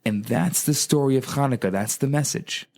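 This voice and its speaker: A man's voice: mid-range voice, moderate pace, gravelly, a little mellow. A man, a rabbi explaining earnestly in a radio interview, using emphatic repetition. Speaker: rabbi and co-host.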